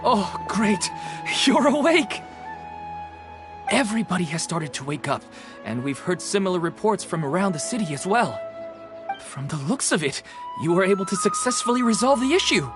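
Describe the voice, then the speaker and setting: Woman playing an animated, earnest, dutiful helper. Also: medium pitch, moderate pace, over music, close to an everyday voice. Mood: relieved, upbeat. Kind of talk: video game voice acting.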